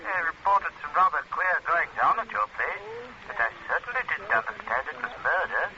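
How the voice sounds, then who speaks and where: An actor playing an affable, matter-of-fact desk sergeant on the telephone in a 1940s radio drama. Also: male voice, fairly high pitch, sing-song, brisk. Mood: official, reassuring. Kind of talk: 1940s radio suspense acting. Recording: muffled, noisy 1940s radio, telephone sound.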